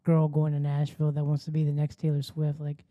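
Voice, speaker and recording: A man's voice: on the high side, monotone, fairly quick, mellow, no echo, highs cut off. A man with a Southern accent, co-hosting and asking guests casual questions.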